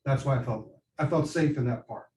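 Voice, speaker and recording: A man's voice: mid-range voice, fairly quick, mellow, a little hiss or hum. A male nursing student reflecting hesitantly on his performance, with many restarts. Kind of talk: debrief reflection. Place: simulation room. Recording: dull, distant, echoey room.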